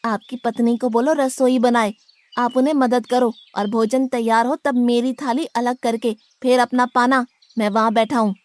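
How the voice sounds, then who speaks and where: Greedy, bossy Brahmin cook for a moral-story cartoon, very high voice female performer, blunt and grumbling. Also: fairly even intonation, normal speed, a little mellow, no echo, lightly acted. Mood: bossy, impatient. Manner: blunt and complaining.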